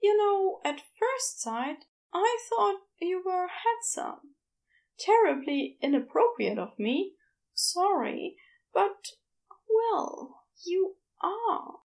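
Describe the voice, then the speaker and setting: A woman voicing a gentle, earnest, bashful man with tender, hesitant phrasing in an audiobook. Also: very high voice, very animated, slow, vocal fry, voice pushed up, heavily acted, rough recording. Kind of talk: audiobook character dialogue.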